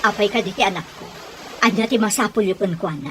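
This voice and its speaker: A woman's voice: medium pitch, fast, slight background noise, close to an everyday voice. A woman playing a protective, defiant poor mother in an audio drama. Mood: protesting, questioning. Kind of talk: Ilocano audio drama acting.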